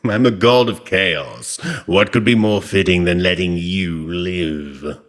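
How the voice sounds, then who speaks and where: An actor playing an ancient Egyptian god of chaos in an audio drama, petulant and wry, with a theatrical, sardonic manner. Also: male voice, low-pitched, slow pace, fairly bright tone. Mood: grand, imperious. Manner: theatrical, grandly sardonic, grumbling. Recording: long cavernous reverb on the voice.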